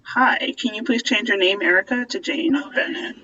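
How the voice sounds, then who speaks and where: A woman's voice: mid-range voice, normal speed, background noise. A woman hosting an online event, chatting casually and playfully while giving friendly instructions to participants. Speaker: host and organizer of a virtual staged reading. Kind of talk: casual live-stream coordination. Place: remote video call. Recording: muffled video call, distant mic.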